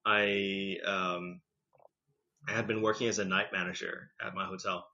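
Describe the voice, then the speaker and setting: A man in his thirties talks as a podcast guest in a loose, chatty way, full of "like" and "you know". His voice sits a little low but rings bright and forceful. The recording sounds dull and lo-fi. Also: little pitch movement, a little slow. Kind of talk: casual conversational storytelling.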